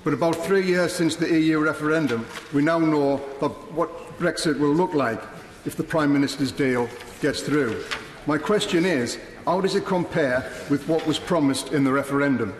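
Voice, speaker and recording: A middle-aged man, a member of parliament, reading a prepared speech in a large debating chamber, earnest and measured. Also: fairly high pitch, slight background noise. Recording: echoey chamber with long reverb.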